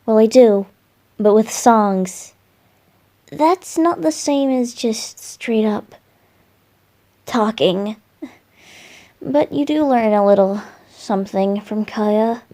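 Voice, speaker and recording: Slow, soft boyish wind god voiced by a woman. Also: fairly high pitch. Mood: teasing, affectionate. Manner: soft, musing, hesitant, affectionate. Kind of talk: roleplay ASMR, intimate one-sided dialogue to a listener.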